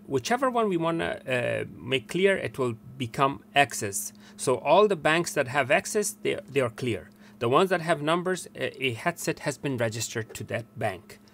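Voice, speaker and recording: An older man with a mid-pitched voice walks through technical instructions step by step. He explains in a halting manner, recorded in a small workroom with clear background noise. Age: older, around 60. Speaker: tutorial presenter, technician. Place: small cluttered indoor workroom. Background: steady room noise.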